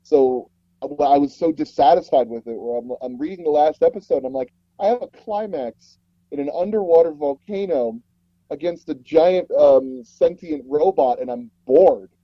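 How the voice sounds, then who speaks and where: A man's voice: a little high, expressive, moderate pace, vocal fry, no room sound, muffled recording. A man speaking as an interview guest, an audio drama producer telling casual stories and acting out the dialogue he quotes. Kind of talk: casual anecdotal interview storytelling.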